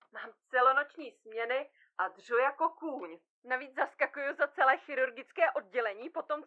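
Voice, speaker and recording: An actress playing a frazzled, blunt surgeon of about thirty in a comic audio drama, colloquial, sarcastic and exasperated. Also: female voice, sits high, bright, forceful tone, lo-fi sound. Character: frazzled, blunt thirty-year-old woman surgeon split in two, bickering.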